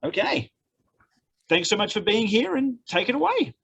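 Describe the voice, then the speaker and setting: A man's voice: sits high, very animated, moderate pace, vocal fry, a bit bright, husky, muffled recording. A man hosting an event, reading out a formal introduction of a guest. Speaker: event host introducing an author. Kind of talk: spoken introduction reading a biography.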